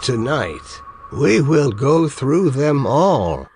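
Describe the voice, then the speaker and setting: A man doing a parody game voiceover of a weary, cryptic old hunter mentor, speaking in slow, theatrical, drawn-out phrases. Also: mid-range voice, big pitch swings, a little mellow, slight background noise, heavily acted. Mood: solemn.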